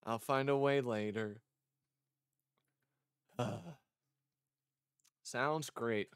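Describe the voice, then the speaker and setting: A man's voice: mid-range voice, steady tone, extremely slow, clean tone, no room sound, studio quality. A man casually reading a visual novel aloud as he streams it, rambling off into chatty asides. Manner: rambling, chatty asides between read lines. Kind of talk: casual game playthrough commentary with read-aloud dialogue.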